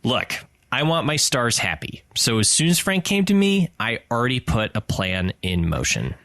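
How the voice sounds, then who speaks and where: A breezy male radio boss in a comedy podcast. He is glib and oblivious, his pitch leaping all over, recorded very dry and close. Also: sing-song, normal speed, pushed and bright, dry recording. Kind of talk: audio comedy acting.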